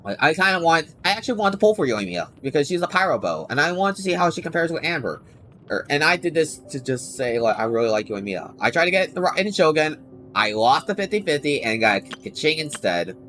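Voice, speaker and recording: A man chatting casually to viewers on a game livestream, rambling and halting as he doubles back on his sentences. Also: on the high side, very animated, sharp tone. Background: game music.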